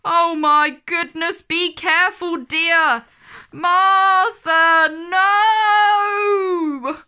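A woman's voice: extremely high pitch, fairly even intonation, extremely slow, bright, forceful tone, voice pushed up, exaggerated voice. A woman voicing a bossy, easily alarmed storybook nanny who exclaims and scolds. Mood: alarmed, scolding.

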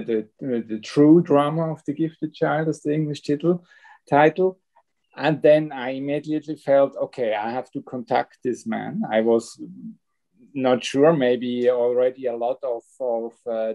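A man's voice: medium pitch, mellow, low-quality recording. A middle-aged man with a German accent, a film director telling a story on a video call. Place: home room with bookshelves. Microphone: laptop webcam mic on video call.

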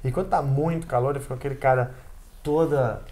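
A middle-aged Brazilian man talking as a guest on a couch, an animated storyteller who stumbles and repeats words. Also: mid-range voice, a little fast. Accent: Brazilian Portuguese. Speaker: guest musician. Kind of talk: casual interview conversation. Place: indoor living room set on a couch.

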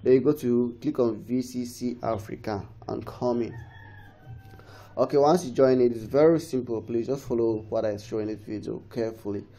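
A man hosting a casual how-to, his voice dulled by a cold. His tone sits mellow and dark at a middle pitch. He rambles, restarts phrases and keeps pleading with viewers to follow along. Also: moderate pace. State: has a cold. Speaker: tech tutorial host. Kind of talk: casual screen-recorded tutorial.

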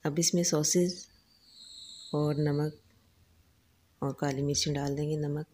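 A woman explains a recipe step by step in a calm voice-over, listing each ingredient and its amount. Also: deep voice, slow, a little hiss or hum. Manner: calm, instructive, lists ingredients and quantities. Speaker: cooking channel host.

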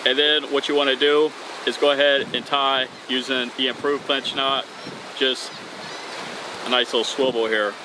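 A man's voice: mid-range voice, little pitch movement, moderate pace. A man in his thirties hosting an outdoor fishing tutorial, talking casually and upbeat as he works with his hands on a bridge over open water. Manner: upbeat, casual, talks while tying knots. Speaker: fishing channel host. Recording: outdoor recording with wind and water noise. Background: wind and waves.

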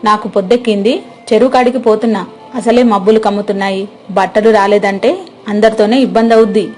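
A woman narrating a moral story and voicing the village wife, in a gentle, plain, homely way. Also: medium pitch, fairly even intonation, fast talker, soft background music.

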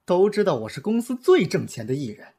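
A man's voice: high-pitched, big pitch swings, a little fast, mellow, breathy edge, heavily acted. A man playing a proud, vain young pop star with a haughty manner in an audio drama. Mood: indignant. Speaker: voice actor in fan audio drama. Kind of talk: radio drama acting. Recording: music under the voice.

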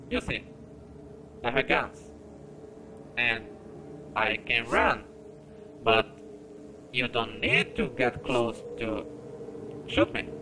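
Slow-talking, creaky-voiced man playing a game. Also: mid-range voice, noisy background. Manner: self-deprecating, halting. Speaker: gamer commentating on own gameplay. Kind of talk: casual let's-play commentary. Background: game music.

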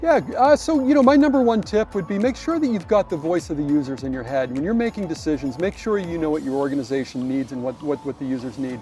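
A man in his forties, a technology executive, answering questions on a walk in a park, halting and full of restarts and repeated words. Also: fairly high pitch, mellow, soft background music. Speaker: vice president of technology. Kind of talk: conversational interview on a walk. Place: outdoors in a park.

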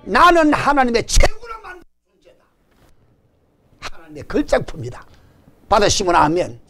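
Lively older pastor with Gyeongsang accent. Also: male voice, extremely high pitch, normal speed. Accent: Gyeongsang Korean. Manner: animated preaching with call-and-response and jokes. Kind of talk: sermon. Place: church stage before a banner. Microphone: stand mic in front.

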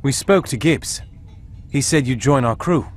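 A man's voice: medium pitch, lively intonation, unhurried, music in the background, lightly acted. A man voicing an earnest, puzzled young sidekick in a video game, plain-spoken and questioning. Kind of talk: video game cutscene acting.

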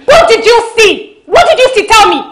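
A fed-up young student. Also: female voice, extremely high pitch, big pitch swings, a little fast, fairly bright tone, exaggerated voice. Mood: exasperated. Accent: Nigerian. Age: young adult. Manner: exasperated, confronting. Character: fed-up young woman, a student, sharp-tongued.

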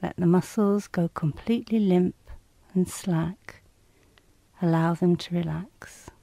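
A woman reading scripted guided relaxation instructions in a calm, measured, soothing and slightly clinical manner. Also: lowish voice, creaky, dry recording.

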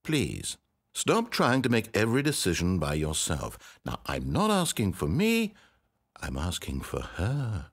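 A man's voice: on the low side, wildly swooping pitch, unhurried, slightly rough, no room sound, clean studio sound, full character voice. An actor playing a smug, mock-polite male narrator of a video game, speaking with sardonic theatrical wit. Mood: wry.

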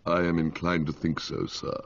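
A man reading aloud and voicing a grave, unflappable male valet who speaks low and measured. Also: flat delivery, no room sound. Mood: deferential. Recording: dull lo-fi, dry.

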